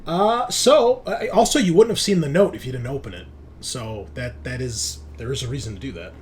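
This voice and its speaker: A man's voice: mid-range voice, animated, a little fast. A man hosting a tabletop game as game master, narrating casually with joking, sweary banter. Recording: background noise, room ambience.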